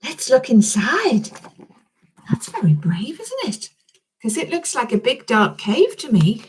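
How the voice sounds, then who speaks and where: A woman's voice: on the high side, wildly swooping pitch, unhurried, a little hiss or hum. A woman reading a children's story expressively, speaking directly and encouragingly to young listeners.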